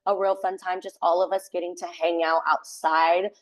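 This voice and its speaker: A young woman with a loud voice, a theater sound tech and assistant stage manager, chatting casually in a podcast interview and filling her talk with "like". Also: on the low side, flat delivery, normal speed. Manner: chatty, many fillers like "like".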